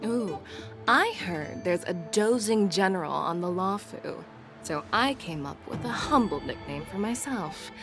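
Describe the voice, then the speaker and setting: A woman's voice: fairly low pitch, lively intonation, unhurried. An actress voicing a confident, playful woman general in a video game, teasing and self-assured, with music behind.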